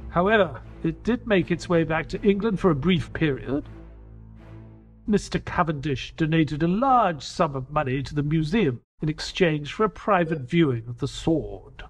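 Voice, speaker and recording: An earnest male companion, played by an actor tells an audio-drama adventure with inquisitive energy. His voice sits a little high for a man and swings animatedly, with some music behind it. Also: lively intonation, moderate pace. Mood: storytelling. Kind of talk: audio drama narration and dialogue.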